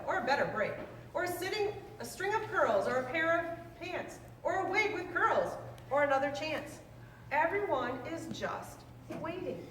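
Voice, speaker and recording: A woman reading a children's rhyming book aloud in a lively, sing-song way. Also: high voice. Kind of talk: read-aloud storytelling. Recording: roomy, distant mic, faint noise.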